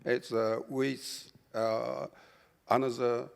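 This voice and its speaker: Male panelist, Japanese accent, heavily creaky voice, halting delivery, reverberant hall. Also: mid-range voice, little pitch movement. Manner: halting, fragmented English. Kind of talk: live panel discussion.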